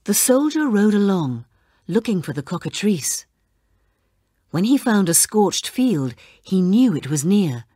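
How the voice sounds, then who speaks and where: Slow, animated woman storyteller. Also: medium pitch, breathy edge, dry recording, clean studio sound. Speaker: storybook narrator. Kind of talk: expressive children's read-along narration.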